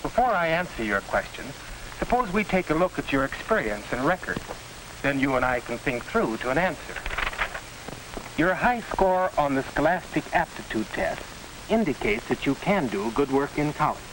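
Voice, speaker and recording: An American actor playing a reassuring school counselor in a 1940s instructional film, with formal, deliberate, didactic delivery. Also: male voice, a little high, very animated. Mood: measured, reassuring. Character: measured, reassuring male school vocational counselor. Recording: noisy, hissy 1940s film soundtrack.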